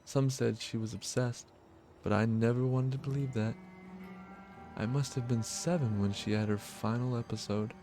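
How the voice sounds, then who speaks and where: A man's voice: medium pitch, fairly even intonation, moderate pace, over music, lightly acted. Man voicing a creepy lovesick young noblewoman. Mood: haunted, confiding. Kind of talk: visual novel dialogue read aloud.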